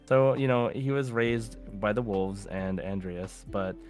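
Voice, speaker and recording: A man hosting a podcast quiz in casual banter, slowing down deliberately for questions and options. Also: lowish voice, a little mellow, soft background music. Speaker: podcast host and quiz master.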